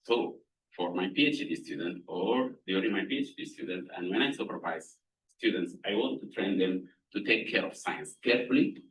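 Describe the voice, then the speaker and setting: A middle-aged man from Sudan, speaking, gives an earnest, measured lab introduction as a professor, pausing to find words. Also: sits low, expressive, a bit bright. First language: Arabic. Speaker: professor presenting his lab. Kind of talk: prepared lab introduction talk. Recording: voice somewhat far from mic.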